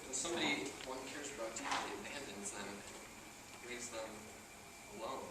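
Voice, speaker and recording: A man's voice: mid-range voice, moderate pace, close to an everyday voice. Man acting awkward wordy loner, very echoey stage recording. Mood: subdued, confessional. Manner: wordy, stilted, overly precise phrasing. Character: awkward, talkative, socially naive loner man in a bar. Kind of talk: amateur one-act stage comedy-drama acting. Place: theatre stage, recorded from a distance. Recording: echoey theatre, distant mic, loud noise.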